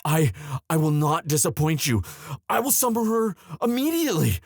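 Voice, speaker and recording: A man playing a devoted young crown prince in a romantic ASMR audio drama, earnest and tender, with formal, halting, courtly speech. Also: a little high, big pitch swings, vocal fry, no echo, studio quality, full character voice. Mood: flustered, eager.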